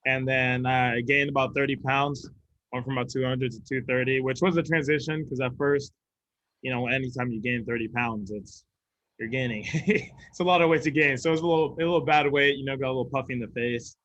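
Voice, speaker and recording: A man's voice: mid-range voice, fairly even intonation, fairly quick, a bit bright, lo-fi sound. A young man from Sweden, a college football player, fielding press questions. His voice sits in the middle range. He is easygoing, laughs readily, and pads his answers with fillers. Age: young adult.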